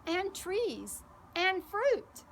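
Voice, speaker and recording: A woman voicing a cheerful, patient older girl in a storybook, explaining things slowly and reassuringly. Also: very high voice, sing-song, a bit bright, slight background noise, pitched above the performer's own voice, heavily acted. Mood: cheerful, emphatic.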